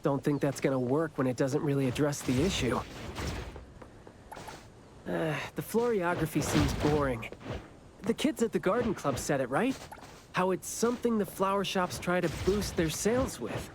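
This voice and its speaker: An actor playing a teasing, inquisitive young man in an anime game, with a drawn-out theatrical delivery and music behind him. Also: a little high, lively intonation, background noise, close to an everyday voice. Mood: musing.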